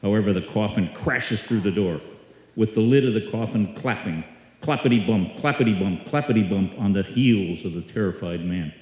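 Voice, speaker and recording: A man hosting a live old-time radio evening, welcoming the audience and telling stories in a droll, deliberate way. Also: fairly low pitch, dull audio. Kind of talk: live stage welcome and storytelling. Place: live performance in a hall before an audience. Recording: echoey hall, picked up from the stage.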